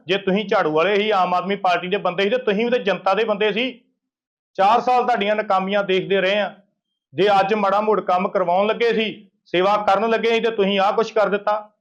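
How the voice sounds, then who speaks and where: A high-voiced man gives a political complaint to the press. He is emphatic and indignant, circling back over his points. The sound is a dull field recording. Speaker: local opposition party leader giving a media interview.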